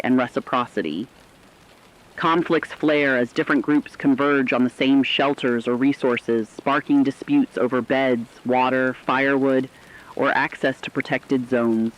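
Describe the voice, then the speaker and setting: A dull, lo-fi recording of a man playing a grave radio reporter. The voice is mid-pitched, and the scripted drama narration is formal, solemn and measured. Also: lightly acted. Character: grave radio co-reporter describing survivors of catastrophe.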